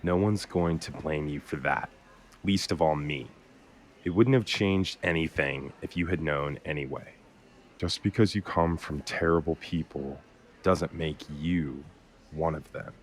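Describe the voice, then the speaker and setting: Man acting as low-pitched grieving brother, weary, consoling, halting, sincere, audio drama. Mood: gentle, consoling. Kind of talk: naturalistic dramatic dialogue.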